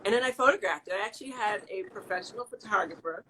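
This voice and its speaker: A woman's voice: mid-range voice, fast talker, sharp tone, a little hiss or hum, poor audio. A middle-aged woman from the American Midwest, chatting casually as an interview guest, laughing often and restarting her sentences.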